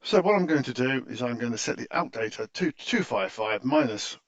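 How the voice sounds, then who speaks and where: A man giving an unscripted, methodical screen-share software tutorial in an explanatory, instructive way, on a dull recording. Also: medium pitch, lively intonation, a little fast, no room sound.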